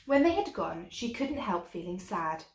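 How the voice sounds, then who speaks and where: A woman's voice: mid-range voice, very animated, moderate pace, a little mellow. A woman storyteller reading a children's tale expressively, like a teacher to young listeners. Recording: echoey room.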